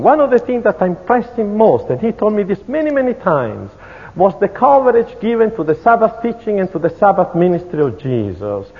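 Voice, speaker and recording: Italian-accented man preaching in a hall. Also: a little high. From Italy. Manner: measured, earnest, prayerful. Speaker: speaker at a church conference. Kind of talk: sermon-style lecture with testimony. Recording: tinny, phone-like, echoey hall.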